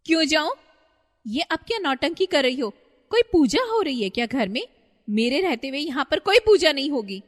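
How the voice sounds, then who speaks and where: A woman's voice: very high voice, animated, moderate pace, in a large hall, pitched above the performer's own voice. A woman voicing a defiant, scheming young daughter-in-law in a cartoon story. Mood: fierce. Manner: sharp, shouting.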